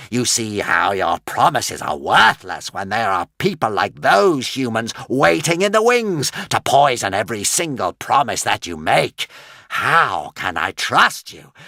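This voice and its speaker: A man voicing a giant male dragon who speaks fiercely and bitterly, slow and heavy. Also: medium pitch, pushed and bright, dry recording, clean studio sound, heavily acted.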